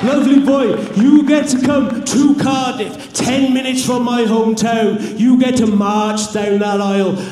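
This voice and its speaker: Fiery Welsh wrestler shouting in an arena. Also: male voice, steady tone, a little slow, few pauses, over music. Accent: South Welsh. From South Wales. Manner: fiery, builds to furious shouting. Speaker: pro wrestler. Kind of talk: wrestling promo to a live crowd. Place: large arena with a live crowd. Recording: booming echo from a big hall, crowd noise.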